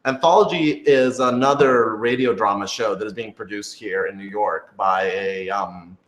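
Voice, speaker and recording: Low-voiced man thinking aloud in echoey room. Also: normal speed, fairly bright tone. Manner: thinks aloud, hedging and rephrasing. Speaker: radio drama creator, writer and director answering fan questions. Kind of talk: informal panel Q&A. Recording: roomy, echoey room sound.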